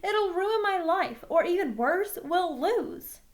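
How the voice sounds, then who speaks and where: A woman's voice: very high-pitched, animated, takes it slowly, pitched above the performer's own voice, heavily acted. A woman voicing a cocky middle school football star who protests dramatically in a children's novel read aloud. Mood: pleading.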